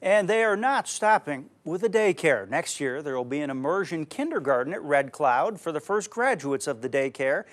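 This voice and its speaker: A man's voice: a little high, lively intonation, moderate pace, few pauses. A man narrating a local TV news feature in a scripted reporter style.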